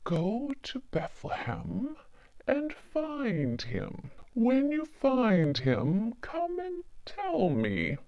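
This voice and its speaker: Brief: scheming storybook king, played by a woman, extremely slow, swooping intonation, commanding, dull muffled recording. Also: fairly high pitch, huge pitch swings, dark tone, no echo, exaggerated voice. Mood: sly, commanding. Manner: drawn-out, deliberate questioning and ordering. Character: scheming, suspicious old-world king, commanding adult male.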